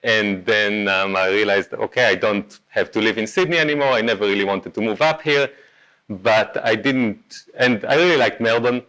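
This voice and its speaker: A man from Israel with a clear Israeli accent talks to a meetup crowd in a relaxed, conversational way. His voice sits fairly low, and he often pauses on "um" and corrects himself mid-sentence. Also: rough recording. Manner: casual, halting, many ums and self-corrections. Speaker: meetup speaker. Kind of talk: conference talk.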